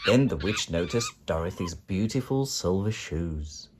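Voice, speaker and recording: A man narrating a children's storybook in an expressive, dramatic way. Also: low-pitched, measured pace, background noise. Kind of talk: children's storybook read-aloud.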